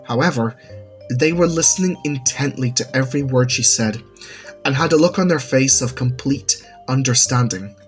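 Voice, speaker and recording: A man's voice: mid-range voice, moderate pace. A man reading a scripted story as its narrator. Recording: music under the voice. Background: background music.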